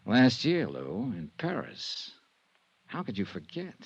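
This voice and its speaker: An actor playing a tough, wry insurance investigator, clipped and sardonic, acting and narrating in an old-time radio drama. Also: male voice, mid-range voice, huge pitch swings, slow pace, creaky, husky, full character voice. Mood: exasperated. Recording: dull vintage radio recording.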